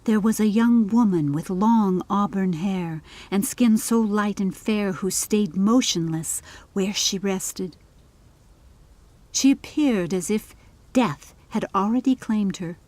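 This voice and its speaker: A woman reads a dark fairy tale as narrator. Her pace is very slow, each phrase measured and deliberate, at an average female pitch. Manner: measured, deliberate, literary delivery. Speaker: audiobook narrator. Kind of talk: scripted storybook narration.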